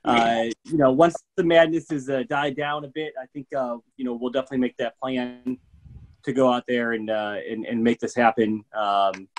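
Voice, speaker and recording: A man's voice: mid-range voice, fairly even intonation, moderate pace. A middle-aged man from Michigan, a bourbon educator, talking casually on a video call from a room at home, rambling through many fillers with an eager, upbeat manner. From Michigan, USA. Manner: rambling, filler-heavy, enthusiastic.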